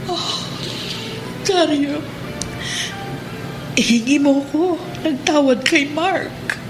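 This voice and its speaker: A woman's voice: sits high, drawn-out words. A woman acting a middle-aged wife in a Tagalog radio drama, hesitant, emotional and humbled. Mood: regretful. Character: once-resentful wife, humbled and regretful. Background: dramatic music.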